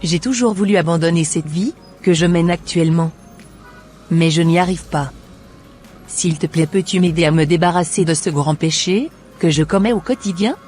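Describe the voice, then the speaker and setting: Man acting high-voiced earnest churchwoman. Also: moderate pace, a little mellow, soft background music, natural voice. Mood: guilty, pleading. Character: devout, soft-spoken married churchwoman, humble and earnest.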